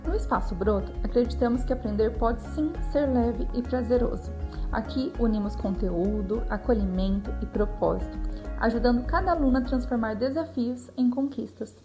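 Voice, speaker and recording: A woman's voice: a little high, moderate pace, music in the background. A woman with a Brazilian accent reading scripted promotional narration. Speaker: advertisement narrator.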